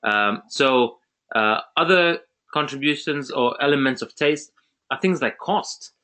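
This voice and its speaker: A man's voice: medium pitch, animated, measured pace, pushed and bright, clear, resonant tone. A man from Zambia hosting an online webinar, talking casually with many restarts and fillers. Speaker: webinar host.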